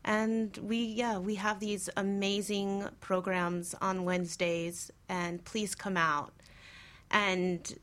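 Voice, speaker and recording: A woman with a mid-range voice speaks as a guest on a talk show. She takes her time, in casual but measured phrases with pauses between them. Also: mid-range voice, little pitch movement, a bit bright. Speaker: talk show guest, birth and death doula.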